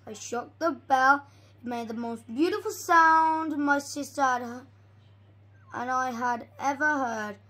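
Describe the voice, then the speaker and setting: High, bright young reader, slow and halting. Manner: halting read-aloud with stumbles and repeated words. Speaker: amateur storybook reader. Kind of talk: reading a storybook aloud.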